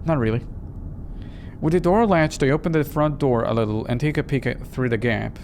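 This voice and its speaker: A man's voice: medium pitch, moderate pace, a little mellow, a little hiss or hum, natural voice. A man reading the part of an uneasy, doubting young woman in a horror visual novel.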